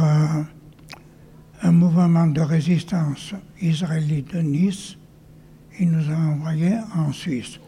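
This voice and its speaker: Old guy, dark gravelly voice, talks slow with lots of restarts, into a handheld mic. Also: a little high, slight background noise. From northern France. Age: older. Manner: halting, with many restarts and whispered stretches. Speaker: witness telling his life story. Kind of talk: testimony to an audience.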